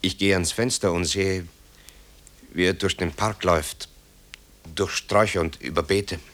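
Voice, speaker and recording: A very deep male voice, grave and dramatic, plays a composer son tormented by guilt. The delivery is halting and confessional, in the manner of a German radio play. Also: steady tone, fairly bright tone. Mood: anguished, urgent.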